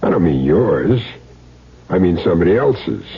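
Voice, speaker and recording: Casting: tough radio-drama hitman, low, heavily husky, blunt and measured, dull old broadcast sound. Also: big pitch swings, full character voice. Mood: grim, calculating. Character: tough, world-weary male hired hitman with a soft spot. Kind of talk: 1970s radio drama acting. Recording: muffled old radio recording.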